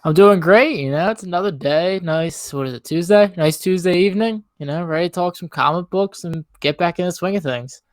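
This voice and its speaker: A man co-hosting a casual pop-culture podcast, speaking in a laid-back, measured and noncommittal way. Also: high voice, dry recording, studio quality. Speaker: podcast co-host.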